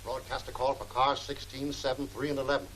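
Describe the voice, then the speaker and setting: An actor playing an authoritative police chief with clipped commands in a 1940s movie serial. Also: male voice, mid-range voice, fairly even intonation, a little fast, noisy background, close to an everyday voice. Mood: brisk, urgent. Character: authoritative male police chief barking brisk orders. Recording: old 1940s film soundtrack.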